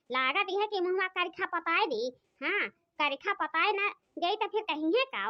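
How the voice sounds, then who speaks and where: A woman voicing a gossipy, complaining young village wife in an Awadhi cartoon comedy, with an Awadhi accent and a shrill, nagging chatter. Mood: grumbling. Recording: dry, muffled lo-fi.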